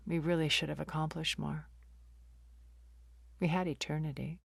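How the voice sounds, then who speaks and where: A woman playing a wealthy, cunning, ancient art connoisseur in a video game, speaking in a refined, measured, articulate way with a wistful temper. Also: sits low, no room sound, studio quality. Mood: wistful, confiding. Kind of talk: video game character dialogue.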